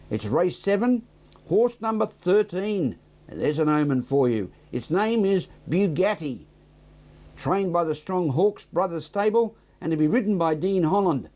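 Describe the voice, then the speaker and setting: A man's voice: fairly high pitch, darkish tone. A man with an Australian accent reading scripted local news and weather as a presenter.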